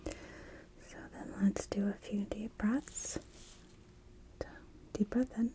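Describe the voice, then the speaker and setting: A woman whispering softly and breathily in an ASMR medical exam roleplay, playing a gentle, unhurried, reassuring nurse. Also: medium pitch, steady tone. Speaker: ASMR performer role-playing a night nurse.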